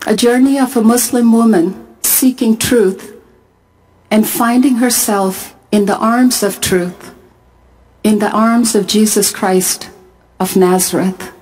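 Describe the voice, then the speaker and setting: A middle-aged woman from Pakistan, speaking at a conference and telling her own story in a measured, earnest way with deliberate pauses. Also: medium pitch, fairly even intonation, a little mellow, a little hiss or hum, rough recording. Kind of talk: testimony talk to a conference audience. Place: large arena.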